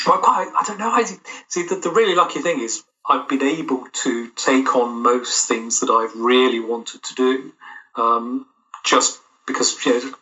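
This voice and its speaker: A man's voice: medium pitch, moderate pace, sharp tone. A middle-aged man giving thoughtful, tentative answers as a guest in a casual interview. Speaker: audio drama producer, interview guest. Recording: dull, lo-fi call-like sound.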